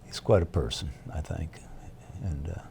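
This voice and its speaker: Older man with a low gravelly voice. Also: warm tone, a little hiss or hum. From Ohio, USA. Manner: halting, fillers, short answers. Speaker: stage actor guest. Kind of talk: casual interview chat.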